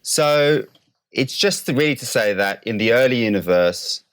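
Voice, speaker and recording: A man's voice: mid-range voice, lively intonation, smooth. A man speaking as a scientist guest in an interview, explaining carefully and precisely, step by step. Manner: measured, precise, qualifying definitions step by step.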